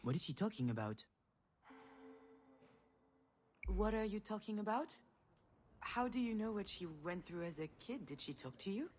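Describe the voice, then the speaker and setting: A woman's voice: medium pitch, sing-song, a little fast, a little mellow, slight background noise, natural voice. A woman playing a protective, reflective older sister in a naturalistic video game drama, earnest and wry. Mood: puzzled, startled. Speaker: game protagonist. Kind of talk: naturalistic drama voice acting, dialogue and inner monologue.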